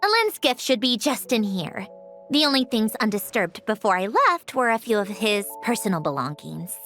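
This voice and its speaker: Giovane genio orgogliosa, voce alta. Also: female voice, fairly high pitch, very animated, moderate pace, a bit bright, soft background music. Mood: reflective. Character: proud, prickly young female genius, easily flustered. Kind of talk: English video game dub.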